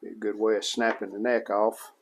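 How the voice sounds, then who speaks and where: A man giving casual hands-on narration of a repair as a hobbyist restorer, plain-spoken and unhurried, thinking aloud as he works. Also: on the low side, level tone, slight background noise.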